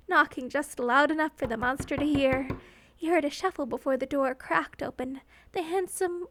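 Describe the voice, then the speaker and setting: A woman's voice: high-pitched, fairly bright tone. A woman reading fan fiction as a narrator, in a scripted, dramatic storytelling style.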